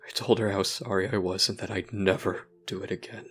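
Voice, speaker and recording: Man acting low, flat, brooding young man. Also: a little fast. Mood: regretful, heavy. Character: brooding, guilt-ridden young human recounting a traumatic memory. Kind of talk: dramatic monologue in an audio roleplay.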